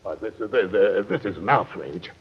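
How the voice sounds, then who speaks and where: An actor playing a pompous, blustering military captain in a radio mystery. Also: male voice, lowish voice, lively intonation, moderate pace, husky, exaggerated voice. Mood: indignant, blustering. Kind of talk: old-time radio mystery acting. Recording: muffled, noisy vintage radio recording.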